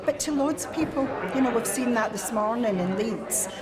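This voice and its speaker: A woman's voice: a little high, moderate pace. A sixty-four-year-old woman, a retired head teacher, giving hesitant, thoughtful interview answers. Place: busy cafe. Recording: loud cafe crowd behind voice.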